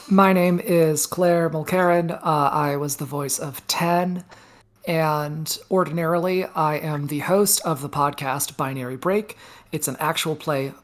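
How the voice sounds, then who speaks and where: Low-voiced woman, casual podcast host. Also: takes it slowly. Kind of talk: casual self-introduction.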